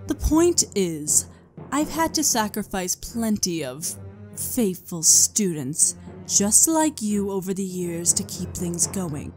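A woman's voice: medium pitch, sing-song, slow pace, darkish tone. A woman voicing a cold, mocking, ancient regal pony princess in a dramatised story reading, sardonic in manner. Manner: leisurely, sardonic. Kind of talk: dramatised fan fiction reading. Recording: music behind the voice.

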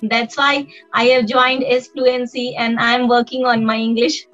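This is a middle-aged Indian woman whose first language is Hindi. Her voice sits very high. She speaks earnest learner's English, as if presenting to viewers. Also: flat delivery, moderate pace, a bit bright, lo-fi sound, faint music behind. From West Bengal, India. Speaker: English learner and video host.

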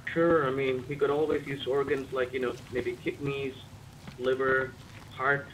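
A man's voice: mid-range voice, flat delivery, unhurried, fairly bright tone. A man talking casually in character as a medic in a roleplay game, over noisy voice chat. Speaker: roleplay gamer playing a medic. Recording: clear background noise on game voice chat.

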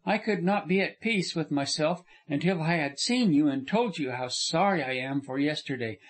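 A man's voice: fairly high pitch, expressive, moderate pace, natural voice. A man doing a frank, impassioned young woman. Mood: earnest. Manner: earnest, impassioned.

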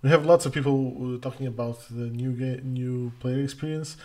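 A middle-aged man from Portugal, hosting a casual livestream Q&A as community manager, halting with many fillers and restarts. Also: medium pitch, fairly even intonation, a little mellow. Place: home room, indoors. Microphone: headset.

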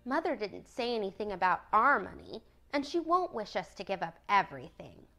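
Storybook tomboy teen acted by a woman: brash, emphatic, animated pitch. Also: normal speed, soft background music. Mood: eager. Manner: emphatic, defiant. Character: brash tomboyish teenage girl, bookish and blunt.

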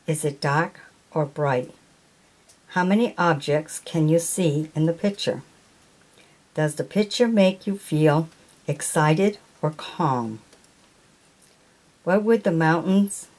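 A woman showing an auction find at a table, reading its printed text aloud slowly and deliberately, with small slips. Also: sits low, a little mellow, low-quality recording. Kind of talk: casual show-and-tell, reading a print aloud. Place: indoors at a table.

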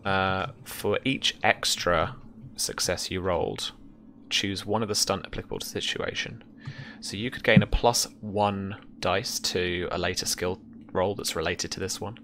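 A young man casually narrating as game master and explaining rules, in a hesitant, halting way, on a video call. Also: low voice, steady tone, sharp tone. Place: indoor room at home, over video call. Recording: faint background noise.

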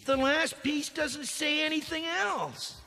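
Very high, naive cartoon sidekick. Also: male voice, slow, gravelly, full character voice. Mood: puzzled. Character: naive, good-hearted chunky young male ghostbuster sidekick. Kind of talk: cartoon comic sidekick acting. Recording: very loud music underneath.

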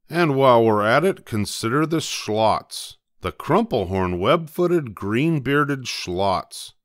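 An older man reading a rhyming children's storybook aloud, expressively, with playful emphasis and drawn-out words. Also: lowish voice, dry recording, clean studio sound. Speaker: storybook reader.

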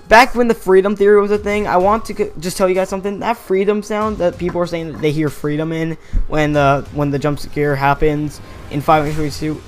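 A young man giving a casual, rambling voice-over commentary as a gaming theory host, often correcting himself and chuckling. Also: fairly high pitch. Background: faint background music.

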